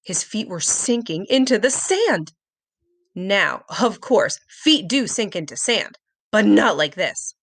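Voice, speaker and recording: A woman narrating a children's story aloud in an expressive, dramatic storytelling manner. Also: medium pitch, slow pace. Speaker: storybook reader.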